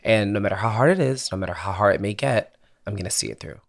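A man's voice: lowish voice, fast. A young man from Philadelphia, a singer-songwriter, talking candidly and casually as an interview guest, laughing easily and swearing now and then.

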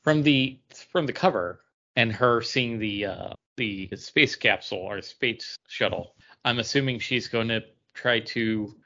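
A man's voice: mid-range voice, measured pace, pushed and bright, lo-fi sound. A man chatting casually as a podcast co-host reviewing comic books, rambling along and acting out his own thoughts.

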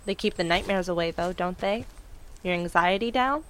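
An actress playing a gentle, indecisive city woman in an audio drama, speaking in an earnest, careful, halting way. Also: on the low side, clean tone, natural voice. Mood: concerned. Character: gentle, hurt, indecisive city woman, werewolf's best friend. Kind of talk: audio drama acting, naturalistic. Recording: music playing behind the voice.

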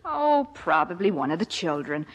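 A woman's voice: on the low side, very animated, normal speed. An actress playing an affectionate, wry wife and mother in a 1950s radio comedy. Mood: matter-of-fact. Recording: hall reverb, old radio broadcast.

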